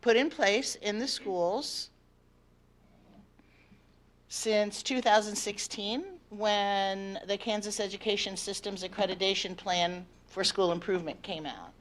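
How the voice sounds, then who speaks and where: A woman with a naturally loud voice addresses a school board from the podium mic. She speaks for the teachers' union and works from notes but drifts into off-the-cuff asides with fillers. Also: medium pitch, steady tone, unhurried. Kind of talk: semi-prepared public presentation. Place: board of education meeting room. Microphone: podium microphone.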